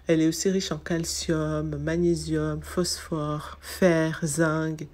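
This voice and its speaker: A woman's voice: low voice, flat delivery, takes it slowly, a little mellow. A woman from Mayotte narrating informative asides with enthusiasm, listing facts with casual fillers. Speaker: wellness channel host. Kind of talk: informative voice-over.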